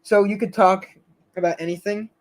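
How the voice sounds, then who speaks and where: A man with a somewhat high voice hosts a casual live stream. He rambles and hesitates, restarting his sentences and filling the gaps with fillers. His voice is getting a little hoarse. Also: steady tone, moderate pace, poor audio. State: slightly hoarse, losing his voice.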